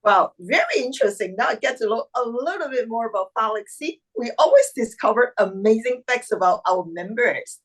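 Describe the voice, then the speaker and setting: Brief: upbeat female host of an online club meeting, a touch high-pitched, video call. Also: animated, a little fast, few pauses, rough recording. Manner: upbeat, exclamatory. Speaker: meeting host introducing guests.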